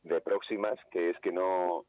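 A man's voice: deep voice, fairly even intonation, a little slow, dry recording. A man from Spain, a playwright and actor, talking thoughtfully in a casual radio interview, often restarting his sentences. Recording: tinny phone line.

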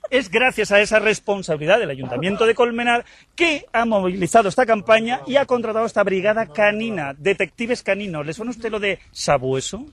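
Guy doing a pompous american detective, high voice, all theatrical. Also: lively intonation, brisk, a bit bright. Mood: mock-formal. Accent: put-on American. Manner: mock-formal, theatrical.

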